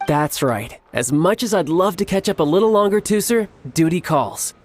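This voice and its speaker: An actor playing a cocky, playful young warrior in a video game, smooth and teasing with theatrically formal speech. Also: male voice, sits high, lively intonation, normal speed, faint music behind. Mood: playful, easygoing. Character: cocky, playful young male warrior posing as toy salesman.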